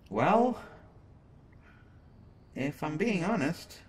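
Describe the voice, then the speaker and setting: A man playing a flirtatious, teasing superhero who is secretly smitten, in a halting, playful conversational way for a roleplay audio drama. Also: medium pitch, slight background noise. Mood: flustered, shy. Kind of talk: roleplay audio drama, acted monologue to listener.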